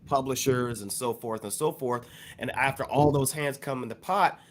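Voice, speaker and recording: A man with an American accent co-hosting a hip hop podcast, explaining points in a measured way with plenty of "you know". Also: medium pitch, a loud crowd. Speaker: podcast co-host.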